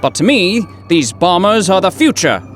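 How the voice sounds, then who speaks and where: A man playing an earnest, emotional hero out to save the world in an audio drama, with dramatic, deliberate delivery. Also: high voice, sing-song, a bit bright, faint music behind. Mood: defiant. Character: bewildered, earnest male hero saving the world.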